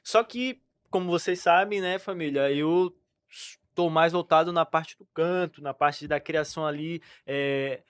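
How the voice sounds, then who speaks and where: A man's voice: on the high side, measured pace, clear, resonant tone, dry recording, clean studio sound. A young Brazilian man co-hosting a music podcast, laid-back and slangy as he talks about songwriting. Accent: Brazilian Portuguese. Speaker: podcast co-host, singer and lyricist. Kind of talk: casual podcast chat.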